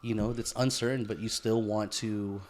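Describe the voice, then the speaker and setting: A man's voice: fairly low pitch, fairly even intonation, normal speed, a little mellow, a little hiss or hum. A man co-hosting a podcast in casual chat, rambling with many fillers like "dude" and "like" and crude jokes. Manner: rambling, laughing, crude joking; heavy use of "dude" and "like" fillers. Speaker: podcast co-host.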